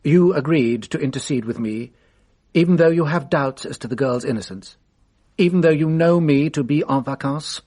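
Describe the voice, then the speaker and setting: An actor playing a famous French detective in a radio drama, shrewd and drily amused, with measured, formal speech. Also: male voice, fairly high pitch, a little mellow, natural voice. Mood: dry, businesslike.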